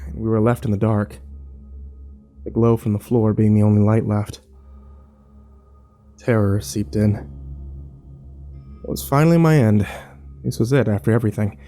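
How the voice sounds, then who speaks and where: A man playing a grim, fearful survivor, narrating his ordeal in a brooding, measured first-person horror style. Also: on the low side, mellow, close to an everyday voice. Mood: dread.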